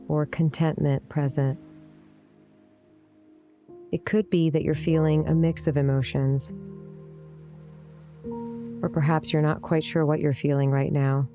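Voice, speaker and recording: A woman leading a guided meditation in a gentle, soothing, unhurried way, with deliberate pauses. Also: low-pitched. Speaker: meditation guide, yoga teacher. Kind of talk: guided meditation, scripted narration. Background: soft instrumental music.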